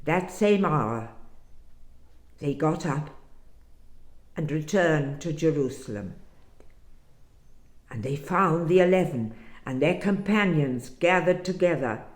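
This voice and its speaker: A woman giving an expressive scripture reading in a deliberate manner with dramatic pauses. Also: low-pitched, reverberant. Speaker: scripture reader.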